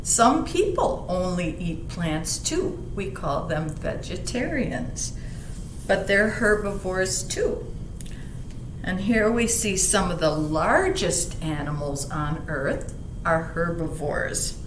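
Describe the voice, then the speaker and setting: A woman reading aloud and explaining to children in a gentle, teacherly manner, stressing key words. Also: lively intonation, slow. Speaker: library story reader. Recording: roomy echo, faint rumbling background noise.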